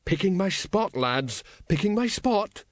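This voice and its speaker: A man's voice: fairly high pitch, lively intonation, moderate pace, no room sound, clean studio sound. A man voicing a blustering, anxious older watch sergeant who grumbles in colloquial speech, in an audiobook story. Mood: nervous bluster.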